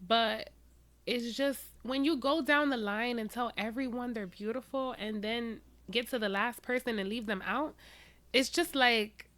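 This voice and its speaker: A woman hosting a reaction channel, giving sassy, animated commentary straight to her viewers. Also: a little high, a little slow, no room sound.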